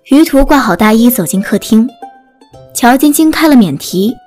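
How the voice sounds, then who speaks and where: A woman's voice: on the high side, animated, moderate pace, darkish tone, faint music behind. A woman narrating a scripted audiobook story.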